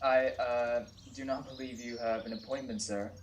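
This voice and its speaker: A man playing a servile little manservant, halting and stiffly formal, in an old detective radio play. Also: medium pitch, steady tone, slight background noise, natural voice. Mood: servile, guarded.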